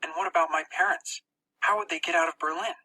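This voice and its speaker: Very bright, forceful dramatic audiobook narrator. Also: mid-range voice, quick pace, low-quality recording. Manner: tense, expressive storytelling. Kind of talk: dramatic first-person scripted narration.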